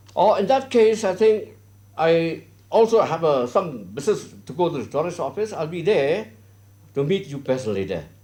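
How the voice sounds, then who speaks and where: A man with a high, very animated voice that leaps around as he talks. He sounds eager and boastful, chattering away as the comic lead of a sitcom. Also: normal speed. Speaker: main character in comedy series. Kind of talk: comedy series acting.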